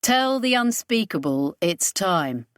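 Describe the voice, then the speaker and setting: A woman plays a young male human-alien hybrid in a science fiction audiobook. He is terse, wry and matter-of-fact, with unhurried delivery. The recording is dry. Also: medium pitch, big pitch swings, clear, resonant tone, dry recording.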